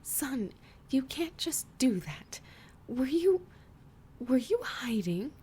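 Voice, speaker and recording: A woman voicing a cold, controlling mother, high and clipped in her delivery. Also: big pitch swings, slow, dark tone, husky, exaggerated voice. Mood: flustered.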